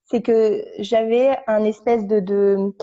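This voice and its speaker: Halting young woman with a mellow voice. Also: mid-range voice, steady tone. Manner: halting, searching for words with many restarts. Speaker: guest. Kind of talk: casual storytelling chat. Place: sitting on a couch at home. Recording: dull lo-fi recording.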